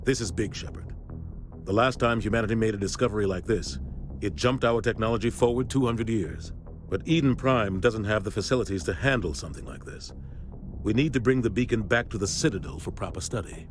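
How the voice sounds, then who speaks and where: An actor playing an authoritative military captain in a video game, explaining things in a grave, firm and earnest manner. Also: male voice, fairly low pitch, fairly quick, soft background music, lightly acted. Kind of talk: video game cutscene acting.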